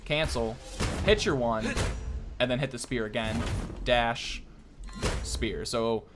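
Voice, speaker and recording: Animated young man doing gameplay commentary. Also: mid-range voice. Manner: informal, thinking aloud. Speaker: gaming video host.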